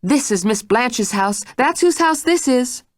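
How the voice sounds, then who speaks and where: A woman's voice: medium pitch, sing-song, moderate pace, dry recording, clean studio sound, voice pushed up, natural voice. A woman voicing a stern, determined housekeeper who scolds and threatens. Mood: scolding. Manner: scolding, forceful.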